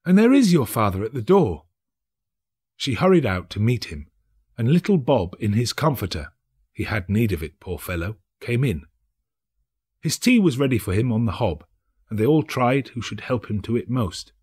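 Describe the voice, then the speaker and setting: A man narrating a story as an actor would, measured, solemn and expressive. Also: fairly low pitch, husky. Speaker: narrator, actor reading an audiobook. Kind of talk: dramatic scripted storybook narration.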